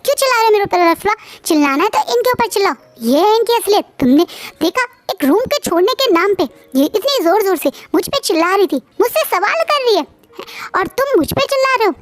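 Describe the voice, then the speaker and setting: A woman voicing a haughty, scheming wealthy woman who shouts accusations in a fit of fury. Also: high-pitched, lively intonation, normal speed, no room sound. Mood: furious.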